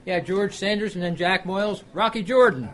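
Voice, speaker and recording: A man's voice: high-pitched, moderate pace. A man casually hosting a quiz at a restaurant luncheon, calling out the answers. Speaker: quiz host at a club luncheon. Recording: loud music behind the voice.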